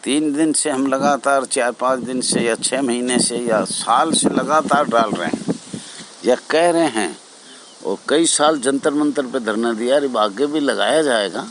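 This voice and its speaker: Older male association leader, mid pitch, unhurried exhorting address, light ambient noise. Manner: exhorting, repetitive, halting phrases. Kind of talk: informal spoken address to fellow home guards.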